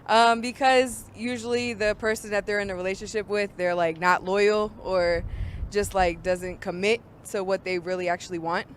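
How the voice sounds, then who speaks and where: A woman's voice: medium pitch, fairly even intonation, normal speed, a little hiss or hum. A young woman answering street interview questions in a hesitant way, full of "um" and "like.". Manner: hesitant, filler-heavy, soft-spoken. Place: outdoors on a city street.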